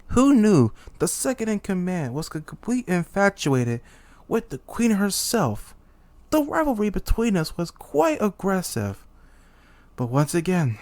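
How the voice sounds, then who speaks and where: A man's voice: mid-range voice, a little mellow, no echo, close to an everyday voice. Man acting a haughty, exasperated demon king. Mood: bitter. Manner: theatrical, exasperated, sighing, lofty speech slipping into slang. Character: humiliated demon king, once human, haughty but self-pitying. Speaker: voice actor. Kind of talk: dramatic character monologue for a voice reel.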